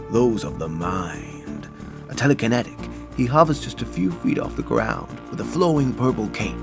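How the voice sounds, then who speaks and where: Casting: man as dramatic serial announcer, mid-pitched, sweeping intonation, music behind. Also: natural voice. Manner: grandiose, theatrical. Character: dramatic, bombastic superhero serial narrator. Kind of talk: scripted radio play narration.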